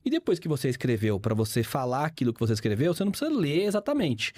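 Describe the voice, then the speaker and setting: Brazilian male teacher, fifty, conversational and didactic, mid-pitched, dry recording. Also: sing-song, very fast, mellow, no room sound. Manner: didactic, explains with examples and repetition. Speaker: guest, public speaking teacher. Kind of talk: conversational interview, explaining.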